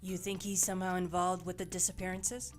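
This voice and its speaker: A woman's voice: lowish voice, completely flat delivery, a little fast, natural voice. A woman playing a practical, caring middle-aged investigator in an audio drama, talking in a plain, conversational way. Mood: curious, practical. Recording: music behind the voice.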